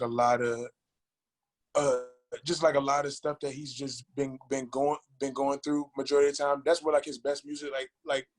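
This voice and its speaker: A man with a medium-pitched voice talks as a guest producer in a casual interview. He speaks haltingly, circles back on his words and leans heavily on slang.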